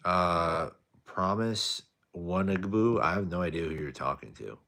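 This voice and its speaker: Young guy streaming late, super deep soft voice, tired and laid back. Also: lively intonation, a little slow, vocal fry. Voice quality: soft-spoken. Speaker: live stream host. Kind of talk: casual live stream chat reading viewer comments.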